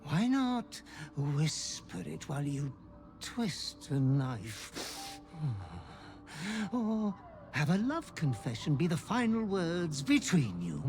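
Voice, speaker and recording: An actor voicing a sly, servile fiendish butler in a video game, gleefully murderous and theatrically obsequious, savoring every phrase. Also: male voice, high-pitched, exaggerated voice. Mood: mock pity. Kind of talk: video game character acting.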